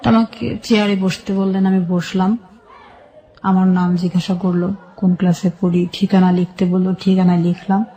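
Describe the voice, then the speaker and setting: A woman with a Bangladeshi accent telling her personal life story as a radio guest, recalling it haltingly in short phrases. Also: on the low side, level tone, warm tone, a faint crowd.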